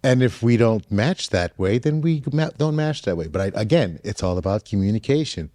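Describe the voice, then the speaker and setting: A man in his sixties, a contestant on a dating show, talking in a relaxed, earnest way and often repeating himself. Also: fairly low pitch, moderate pace, dry recording, clean studio sound. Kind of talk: casual chat.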